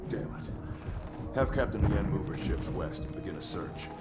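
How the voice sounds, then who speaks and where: An actor playing a stern, urgent military commander, terse and commanding, in a game cutscene. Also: male voice, low voice, animated, moderate pace. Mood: urgent, determined. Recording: echoey room, loud music.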